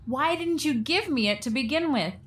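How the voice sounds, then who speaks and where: A woman's voice: high-pitched, lively intonation, moderate pace, a bit bright, a little hiss or hum. A woman acting a headstrong single homeowner in a romantic comedy radio play, both in scenes and as the wry, playful narrator. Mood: eager, bantering. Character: headstrong single woman renovating her inherited house, narrating her story.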